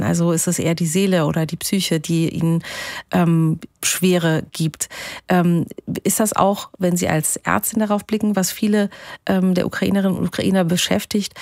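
A woman hosting a radio interview, asking gentle, measured questions. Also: on the low side, creaky, dry recording, clean studio sound. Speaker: radio host.

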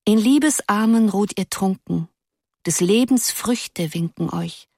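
A woman voicing a willful, dreamy teenage schoolgirl who speaks emphatically and declaims verse softly. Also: mid-range voice, a little slow, creaky, no echo, clean studio sound, pitched above the performer's own voice. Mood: declaiming.

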